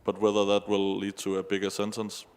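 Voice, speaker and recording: A deep-voiced man speaks on a conference panel, his words reverberating through the hall. He is hesitant and measured, feeling his way through an unscripted answer. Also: monotone, a little mellow, clear, resonant tone. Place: large indoor conference hall. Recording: echoey hall, long reverb.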